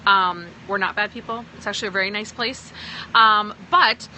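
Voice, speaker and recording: A woman's voice: mid-range voice, normal speed, pushed and bright, slight background noise, rough recording. A woman from Pakistan who sounds American, talking to viewers on a phone livestream as a sales team leader, emphatic and often checking in with "okay?". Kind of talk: livestream talk answering viewers.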